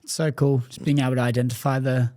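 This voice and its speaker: A man's voice: mid-range voice, flat delivery, fast, dark tone, dry recording, studio quality. A man hosting a podcast, asking long rambling questions full of fillers. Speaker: podcast host. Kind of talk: casual interview questions.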